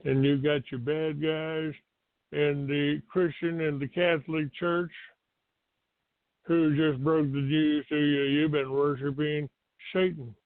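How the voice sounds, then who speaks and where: Slow older American man, tinny phone line. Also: a little high, little pitch movement, no room sound. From Texas, United States. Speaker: talk show host. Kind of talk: rambling monologue to listeners.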